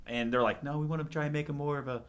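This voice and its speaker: A man's voice: fairly high pitch, fairly even intonation, rapid-fire, a bit bright, clear, resonant tone. A man talking casually and bluntly on a podcast. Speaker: podcast panelist. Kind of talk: casual chat.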